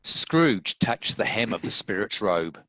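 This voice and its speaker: A man's voice: fairly low pitch, very animated, slow pace, pushed and bright, dry recording, low-quality recording. A man reading scripted story narration as the narrator of a dramatic table read.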